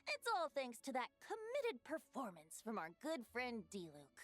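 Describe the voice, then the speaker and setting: An actress playing an easygoing young adventurer in a video game, teasing and lighthearted. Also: female voice, a little high, huge pitch swings, moderate pace, soft background music. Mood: playful. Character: easygoing, playful young female traveling adventurer.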